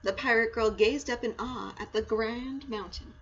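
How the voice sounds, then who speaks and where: A woman reading a children's story aloud in an expressive, storytelling style. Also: a little high, moderate pace. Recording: muffled audio with clear background noise.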